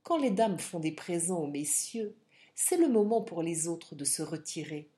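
A woman's voice: fairly low pitch, lively intonation, normal speed, warm tone, lightly acted. A woman voicing a burly, haughty English army captain in an audiobook, formal and sarcastic in manner. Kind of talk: audiobook character acting.

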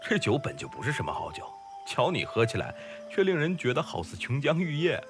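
Man as animated, genial scholar-fisherman in his thirties. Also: mid-range voice, brisk, music in the background. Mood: genial, curious. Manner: courteous archaic speech, self-mocking. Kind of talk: Chinese period audio drama acting.

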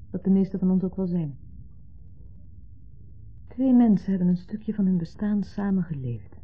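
An actress playing a patient, mildly exasperated wife in a 1970s radio play, reassuring and matter-of-fact. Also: female voice, on the low side, slightly rough. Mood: candid, wistful. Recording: muffled narrow-band 1970s radio recording.

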